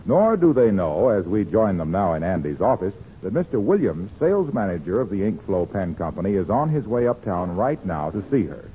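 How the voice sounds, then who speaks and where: A man's voice: low-pitched, big pitch swings, a little fast, few pauses. A man announcing a 1940s radio show in a folksy style, addressing listeners directly, on a scratchy, tinny recording. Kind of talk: 1940s radio narration and sponsor pitch.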